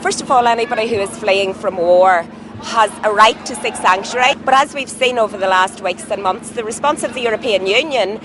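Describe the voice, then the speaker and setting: A woman's voice: medium pitch, fairly quick. Impassioned woman activist. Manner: impassioned, emphatic. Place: outdoors at a city rally. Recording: outdoor traffic noise.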